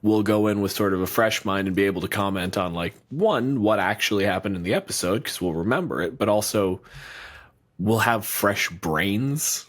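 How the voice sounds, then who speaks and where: Low-voiced man rambling casually on a podcast. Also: normal speed. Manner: rambling, filler-heavy, swears casually. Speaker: podcast co-host and game master.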